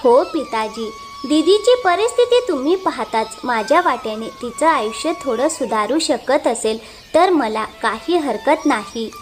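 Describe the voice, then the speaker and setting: A gentle, selfless young village wife voiced by a woman in an animated moral tale. The voice is high and melodic, earnest and respectful, over light background music. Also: a little slow. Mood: kind, earnest. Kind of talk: animated moral story dialogue.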